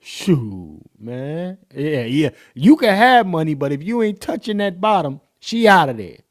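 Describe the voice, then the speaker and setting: Man, podcast host, playful reaction talk full of slang and laughs, slightly high voice, unhurried delivery. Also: very animated. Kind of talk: casual reaction commentary.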